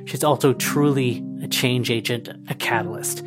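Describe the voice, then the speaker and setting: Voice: medium pitch, fairly even intonation, normal speed. A podcast editor giving a casual, prepared tribute message. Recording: prominent guitar music underneath. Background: guitar music.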